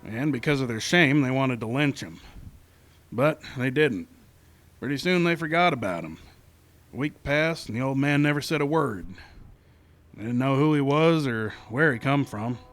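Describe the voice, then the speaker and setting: Man acting a steady radio western marshal, medium-pitched. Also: normal speed, close to an everyday voice. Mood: measured narrating. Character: steady, firm frontier marshal who also narrates. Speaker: narrator and lead actor. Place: live stage show in a park.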